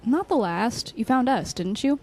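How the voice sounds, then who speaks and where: A woman acting a caring, direct young student friend in a naturalistic audio drama, encouraging and gently insistent. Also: fairly high pitch, animated, normal speed.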